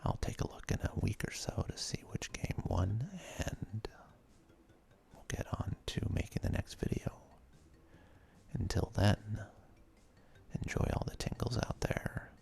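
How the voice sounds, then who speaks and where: A man's voice: fairly low pitch, fairly even intonation, unhurried. A man whispering ASMR commentary as he hosts a video game let's play. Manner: soft, breathy whispering.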